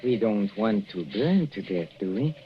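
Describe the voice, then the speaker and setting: An actor playing a jealous husband in an old radio suspense drama, sardonic and hesitant, with mock affection and menace. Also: male voice, on the low side, lively intonation, a little slow. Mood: gloating menace. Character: jealous, menacing husband, coolly mocking as he reveals poisoning. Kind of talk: 1940s radio suspense acting. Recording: noisy muffled old radio recording.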